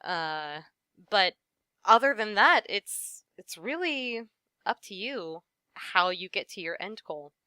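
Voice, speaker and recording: A woman voice actress talks shop in a relaxed interview, with a bright, crisp and very clear voice. She is friendly and laughs often, and sprinkles her talk with "you know." The recording is close and dry. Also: mid-range voice, slow pace, no room sound. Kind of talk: casual interview chat.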